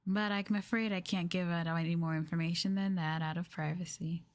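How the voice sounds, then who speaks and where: A woman with an American accent talking softly and casually as an ASMR streamer, gently and unhurriedly replying to her chat. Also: fairly low pitch, fairly even intonation, brisk, a little hiss or hum. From United States.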